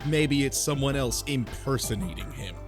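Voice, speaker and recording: A man's voice: medium pitch, expressive, normal speed, over music. A man speaking casually and informally in a group discussion about a game.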